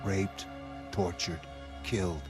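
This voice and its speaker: Man voicing hostile vigilante prisoner, low pitch, slow delivery, terse fragments, grim menace, motion comic, music underneath. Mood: grim, detached. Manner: clipped, terse sentence fragments, flat menace. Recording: music behind the voice.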